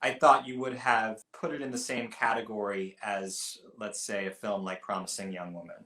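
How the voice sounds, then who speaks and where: A man co-hosting a film podcast, talking things through in a thoughtful, measured way. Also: on the low side, level tone, sharp tone. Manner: thoughtful, measured, with frequent restarts. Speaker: podcast co-host. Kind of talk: casual film review chat. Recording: roomy echo.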